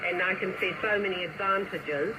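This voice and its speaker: A woman's voice: lowish voice, normal speed. An older woman chatting informally as a guest in a radio interview. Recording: very noisy shortwave radio reception. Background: loud radio static and noise.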